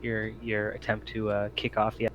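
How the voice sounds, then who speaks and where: A man with a fairly low voice acts as game master for a tabletop roleplaying game. He narrates casually and conversationally, in an easygoing way. The audio is a little dull, with faint noise behind him. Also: completely flat delivery, moderate pace, fairly bright tone.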